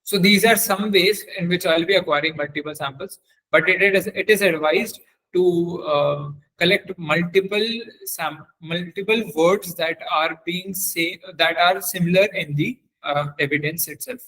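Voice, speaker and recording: A man giving an informal technical lecture as a webinar trainer, speaking haltingly and often repeating his phrases. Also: sits high, sharp tone, low-quality recording. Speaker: webinar trainer on forensic audio.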